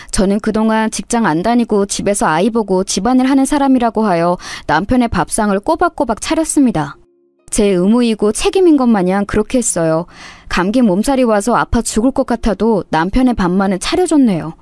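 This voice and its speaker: A woman's voice: on the high side, darkish tone, no echo. A woman narrating a scripted first-person story in a dramatic storytelling style.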